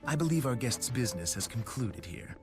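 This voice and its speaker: An actor playing a smug, scheming corporate director in a video game, polite yet condescending and insinuating. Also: male voice, medium pitch, big pitch swings, fairly quick, natural voice. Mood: smooth, dismissive. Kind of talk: video game cutscene acting. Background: background music.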